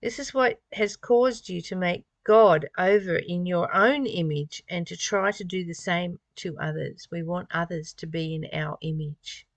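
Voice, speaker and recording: Reflective woman with a creaky, fry-edged voice. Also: lowish voice, dry recording. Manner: reflective, musing, with rhetorical questions. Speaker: spiritual study reader and commentator. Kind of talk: reading a text aloud with informal reflective commentary.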